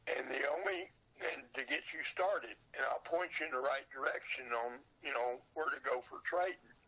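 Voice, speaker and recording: An older man reminiscing casually as an interview guest, with a folksy, halting manner that often repeats how a phrase begins. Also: mid-range voice, animated, creaky, pushed and bright, breathy edge, highs cut off. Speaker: podcast guest, old radio show collector and seller.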